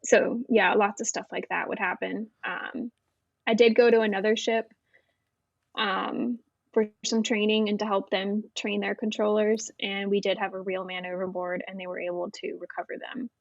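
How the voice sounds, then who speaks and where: A woman's voice: mid-range voice, normal speed, vocal fry, no echo. Navy vet woman talking easy, lots of ums, kinda muffled dry audio. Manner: relaxed, frank, many "um" and "like". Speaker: podcast guest, Navy veteran air traffic controller. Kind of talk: casual interview chat.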